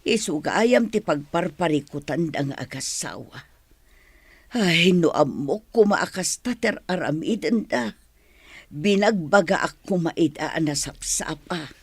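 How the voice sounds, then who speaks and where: Worried elderly mother, Ilocano radio play: husky, plaintive, very expressive intonation. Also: low voice, a little slow, no echo, full character voice. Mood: troubled. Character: sorrowful, worried old village mother. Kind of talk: Ilocano radio drama acting.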